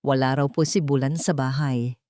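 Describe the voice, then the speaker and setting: A woman's voice: a bass voice, steady tone, moderate pace, warm tone, no echo, studio quality, pitched below the performer's own voice. A woman voicing a frightened, tearful schoolteacher in an audio story, with a trembling delivery. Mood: fearful, tearful. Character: frightened, tearful schoolteacher reporting a missing child.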